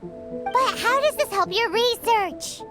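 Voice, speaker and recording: Squeaky childlike fairy girl. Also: slow pace. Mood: curious. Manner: cutesy, blunt, informal. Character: small childlike floating fairy companion, curious and cheeky. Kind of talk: video game voice acting. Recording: music behind the voice. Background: game music.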